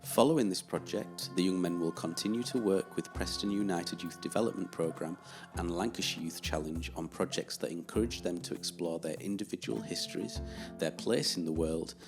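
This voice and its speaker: A man's voice: low-pitched, normal speed, vocal fry. A man reading scripted narration as the narrator of a short film. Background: music.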